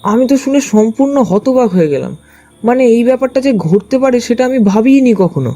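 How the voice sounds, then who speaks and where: A man reading a first-person scripted story as its narrator, with expressive storytelling delivery. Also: extremely high pitch, a little fast, soft background music. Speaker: narrator and detective's companion.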